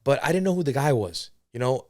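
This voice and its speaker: A young man hosts a casual fight podcast in a mid-pitched voice. He rambles and swears as he goes, recorded dry and close with no room sound. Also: expressive, fast, mellow, no echo. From born in Spain, raised in America. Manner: rambling, profane, admits to segues. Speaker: podcast host. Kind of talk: casual solo podcast commentary.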